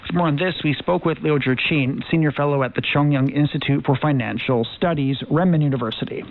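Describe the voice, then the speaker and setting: Creaky-voiced newsman on tinny radio. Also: fairly high pitch, brisk. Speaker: news presenter. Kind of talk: scripted news report. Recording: noisy tinny shortwave radio.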